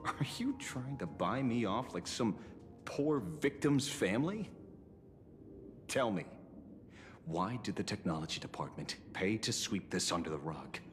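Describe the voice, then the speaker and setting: A deep, animated, sardonic detective. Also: male voice, unhurried. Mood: defiant. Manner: theatrical, sardonic, pointed phrasing. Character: wry, dogged male detective, sardonic and defiant. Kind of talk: scripted video game voice acting. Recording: long reverb, music behind. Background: music.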